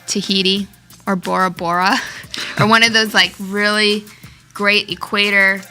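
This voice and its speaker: A young woman with a bright voice co-hosts a live stream, upbeat and quick to agree, reading out viewers' answers. Faint music sits behind her. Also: mid-range voice, little pitch movement. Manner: upbeat, reads viewer comments aloud, quick agreeing replies. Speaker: co-host. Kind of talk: casual livestream chat.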